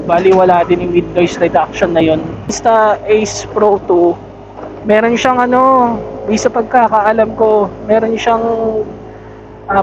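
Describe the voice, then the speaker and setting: A motovlogger with a Manila-area Filipino accent talks in a casual, rambling way. The voice sits at a middling pitch, with clear vehicle noise all around it. It sounds like chat recorded out on a motorcycle at night. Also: highs cut off. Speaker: motovlogger testing a camera. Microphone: intercom headset mic, sometimes built-in camera mic.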